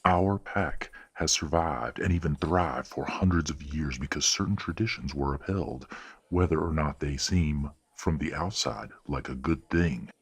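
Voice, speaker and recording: A man playing a stern, courteous, middle-aged werewolf father in an audio roleplay drama, speaking in a formal, measured, authoritative way. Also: rumbling low, husky, full character voice. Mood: firm, proud.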